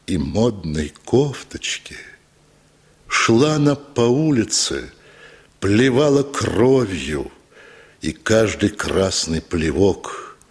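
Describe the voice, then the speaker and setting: A man narrates a literary story for radio. His voice is mid-pitched and husky, with animated intonation. He tells it slowly and dramatically.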